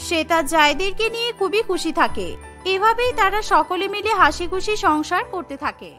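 A woman's voice: very high-pitched, lively intonation, moderate pace, music in the background. A woman narrating a scripted cartoon story.

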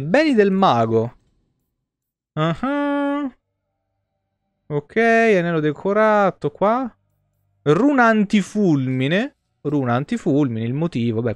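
Higher-pitched man streaming games. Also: no echo. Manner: informal, mild exclamations. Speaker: video game streamer. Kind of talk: casual live game commentary, reading game text aloud. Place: small indoor streaming setup.

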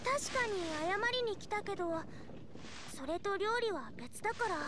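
A woman's voice: very high-pitched, animated, moderate pace, background noise. A woman voicing a spirited teenage girl chef in an anime-style game, earnest, confident and girlish. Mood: friendly. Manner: earnest, girlish, plain-spoken.

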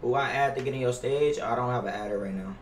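A man's voice: medium pitch, quick pace. A young American man chatting casually to livestream viewers as he games, slangy, profane and complaining. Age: young adult. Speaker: livestreamer playing a basketball video game. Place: indoors at a gaming setup. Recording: roomy, distant mic with faint noise.

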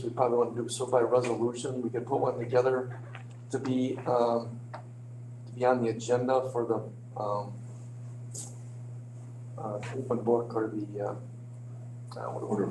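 A man's voice: medium pitch, level tone, warm tone. Hesitant man with slightly crackly voice, echoey room. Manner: hesitant, halting, hedging. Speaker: village official presenting to a board. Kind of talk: informal meeting briefing. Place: indoor village board meeting room. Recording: echoey meeting room, clear hum.

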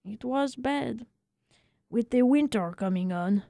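A woman playing an excitable, tender-hearted little French barber telling his tale in a dramatic, emphatic way for a radio story. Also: fairly high pitch, slow pace, creaky, no room sound, heavily acted. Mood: sorrowful, admiring.

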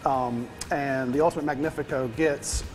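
A man's voice: medium pitch, fairly even intonation, moderate pace, soft background music. A man on a TV studio set showing how to make pizza, often repeating and restarting his words as he explains. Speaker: pizza restaurant manager and guest. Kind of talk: casual cooking demo talk.

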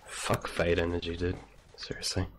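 Blunt male gamer, deep and flat, making team callouts over slightly noisy voice chat. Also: moderate pace. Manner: blunt, swearing. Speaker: team player. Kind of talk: casual gaming team callouts. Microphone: gaming voice chat microphone. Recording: faint noise on voice chat.

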